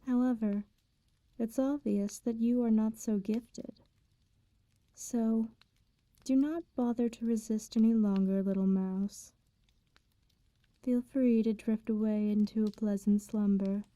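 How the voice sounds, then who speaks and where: A woman playing an immortal vampire in an ASMR roleplay, speaking softly and formally, with condescending tenderness and quiet menace. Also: a little high, fairly even intonation, no echo. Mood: tender, menacing. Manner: formal archaic diction, unhurried, teasing. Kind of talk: slow ASMR roleplay, intimate bedtime storytelling.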